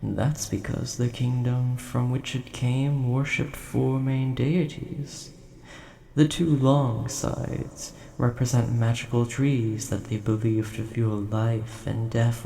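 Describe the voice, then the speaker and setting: Man voicing a slow, gravelly, scholarly old dragon. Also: medium pitch, warm tone. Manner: formal, rambling, thoughtful storyteller. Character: large ancient nerdy dragon, gentle, scholarly, coin collector. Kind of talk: scripted audio roleplay addressed to the listener. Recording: roomy echo, faint background noise.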